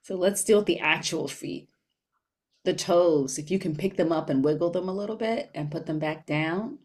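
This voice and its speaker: A woman leading a guided meditation in a gentle, unhurried manner with long pauses. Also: fairly low pitch.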